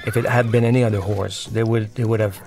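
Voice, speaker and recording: A male film director records a commentary over his own movie. His voice is low and gravelly. He speaks haltingly, stopping and restarting as he looks for the right words. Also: flat delivery.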